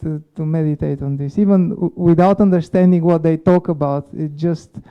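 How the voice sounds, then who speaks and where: Creaky, higher-pitched man reflecting haltingly. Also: fairly even intonation, dull audio. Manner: halting, repetitive phrasing. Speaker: class participant. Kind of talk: spontaneous devotional reflection.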